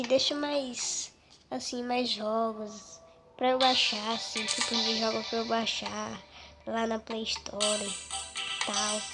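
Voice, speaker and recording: A young girl with a Brazilian Portuguese accent and a cold, giving casual commentary over her own gameplay. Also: a little high. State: stuffy with a cold. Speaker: gaming video host. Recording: loud music over the voice. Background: loud music.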